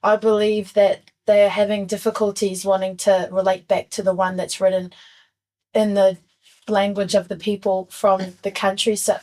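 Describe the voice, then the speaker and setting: Soft-spoken young woman, flat steady voice. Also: medium pitch. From New Zealand. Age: young adult. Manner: earnest, thoughtful, soft-spoken. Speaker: youth guest. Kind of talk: reflective interview answers.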